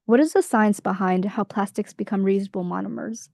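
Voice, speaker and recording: Mellow young woman hosting an interview. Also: mid-range voice, steady tone, fairly quick, gravelly, no echo, studio quality. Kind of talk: scripted interview questions.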